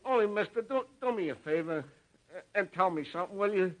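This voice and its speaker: An actor in a 1950s radio comedy playing an exasperated store clerk, whiny and stammering, on the edge of hysteria. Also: male voice, sits high, animated, fairly quick, a bit bright. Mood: strained calm. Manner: whiny, stammering, near breakdown. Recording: old 1950s radio recording.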